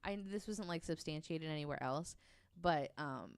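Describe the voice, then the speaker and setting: A man chatting casually on a podcast, informal and expressive as he tells stories. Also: a little high, normal speed, gravelly, clear, resonant tone, dry recording, studio quality. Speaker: podcast panelist. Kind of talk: casual storytelling chat.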